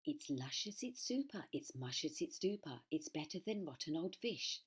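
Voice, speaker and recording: A woman voicing a huge, sly, greedy crocodile in a children's storybook, gloating over every drawn-out word. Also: low-pitched, sing-song, husky, full character voice. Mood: gloating relish. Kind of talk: children's storybook read-aloud.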